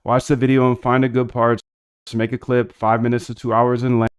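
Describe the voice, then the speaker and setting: A man's voice: medium pitch, little pitch movement, fairly quick, clear, resonant tone, highs cut off. A man streaming as he works on his screen, rambling and slangy, talking to chat as he goes. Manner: rambling, slangy, talks to chat while working. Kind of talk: casual screen-share chat.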